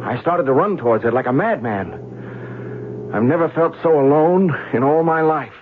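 An actor playing a bewildered, lovestruck and fearful journalist in a 1970s radio drama, earnest and halting. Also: male voice, on the high side, animated, lightly acted. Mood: shaken, narrating. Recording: noisy, tinny vintage radio recording.

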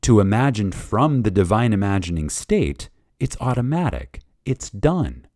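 A man reading a spiritual lecture dramatically, with reverence, emphasis and deliberate pauses. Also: fairly low pitch, big pitch swings, darkish tone, no echo, studio quality. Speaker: reader of a lecture.

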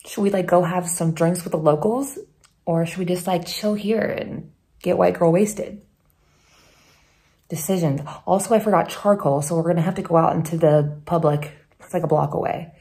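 A woman's voice: fairly low pitch, steady tone, normal speed, warm tone, slightly rough. Playful, crude-joking woman vlogger. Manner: playful, jokey and crude, laughs often. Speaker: travel vlogger. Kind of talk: casual vlog chat to camera.

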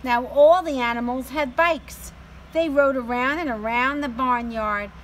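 A middle-aged woman tells a children's story at a very unhurried pace. Her voice sits a little high. She is recorded outdoors, with some noise behind her. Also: fairly bright tone. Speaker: storyteller reading a picture book for children. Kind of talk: slow read-aloud storytelling. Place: outdoor home patio.

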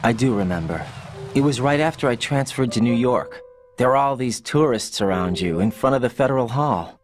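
A man's voice: medium pitch, lively intonation, moderate pace, a little hiss or hum, lightly acted. A man voicing an earnest, questioning young special forces agent in a video game. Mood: reflective. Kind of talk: video game voice acting over radio call.

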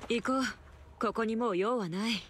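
An actress playing a doting, curious female machinery engineer with an unhurried, admiring manner. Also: on the high side, a little hiss or hum, natural voice. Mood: intrigued. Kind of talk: Japanese anime game acting. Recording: loud music behind.

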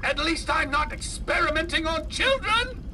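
An actor playing a tiny, earnest old male scientist in a video game, dramatic and quick to indignation. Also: extremely high pitch, lively intonation, noisy background. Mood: indignant. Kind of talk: dramatic game cutscene acting. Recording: distant, loud music behind. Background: loud game music.

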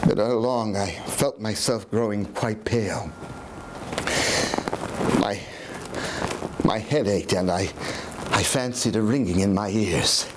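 A man in his sixties playing a guilt-ridden, mad murderer in a theatrical gothic horror reading, halting and repeating words, agitated and declamatory. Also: on the low side, noisy background, pitched below the performer's own voice. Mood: uneasy, confiding. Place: small indoor room in an old house, live audience.

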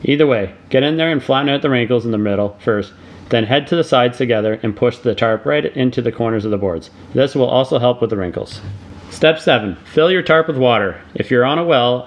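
Man giving steady step-by-step instructions. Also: mid-range voice, quick pace, lo-fi sound. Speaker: DIY channel host. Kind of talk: scripted voice-over tutorial.

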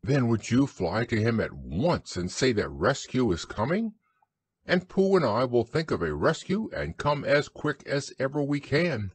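A man voicing an eager, kind, excitable young boy in a storybook, with an earnest manner. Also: mid-range voice, unhurried, husky, no echo, voice pushed up. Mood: urgent.

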